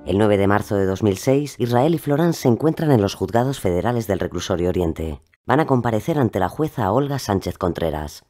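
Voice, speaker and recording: A man's voice: on the low side, animated, a little fast, hardly any pauses, mellow, faint music behind. A man delivering scripted, dramatic narration for a true-crime audio documentary.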